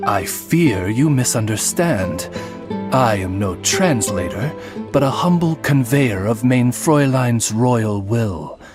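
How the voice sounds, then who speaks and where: A man's voice: medium pitch, animated. A man voicing a polite, formal talking raven who speaks for his mistress. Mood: courteous. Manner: courteous, formal, measured. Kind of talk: game cutscene voice acting. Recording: game audio with music behind.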